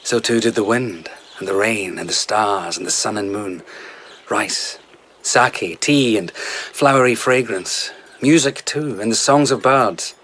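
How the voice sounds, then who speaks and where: A man's voice: lowish voice, a little slow, fairly bright tone, a little hiss or hum. A man in a radio drama playing a dreamy, hard-drinking vagabond who tells lyrical, rambling tales as a royal favourite.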